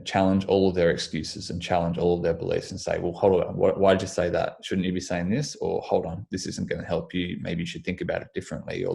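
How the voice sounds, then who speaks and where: A young Australian man, a physiotherapist, answering interview questions in a measured way, slightly croaky in the morning, restarting his sentences often. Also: low voice, a little mellow. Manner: measured, with frequent restarts and ums. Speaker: physiotherapist guest.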